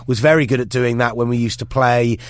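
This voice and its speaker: A man's voice: mid-range voice, fairly even intonation, a little fast, no room sound, clean studio sound. Stuffy-nosed man hosting a podcast. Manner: rambling, with restarts and fillers. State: bunged up with a head cold. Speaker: podcast host. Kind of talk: casual sports podcast chat.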